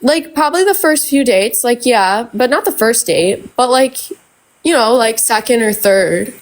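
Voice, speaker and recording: A woman's voice: on the high side, lively intonation, a little slow. Young woman caller in her twenties, stuffy and congested, rambling with many fillers. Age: 20s. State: congested from flu. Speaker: caller on a live stream. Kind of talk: casual advice-seeking chat.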